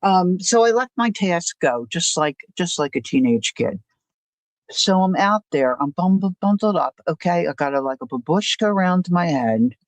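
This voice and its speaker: Older woman host, low voice, rambling chatty storyteller, dry close mic. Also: animated, normal speed, no room sound. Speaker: livestream host. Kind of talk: casual chat.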